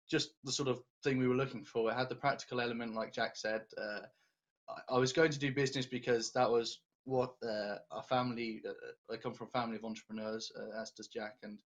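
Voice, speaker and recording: A man's voice: medium pitch, fairly bright tone. Young man, slightly muffled recording. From England, born in Denmark. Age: 20s. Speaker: interview guest, business graduate. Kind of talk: casual chat.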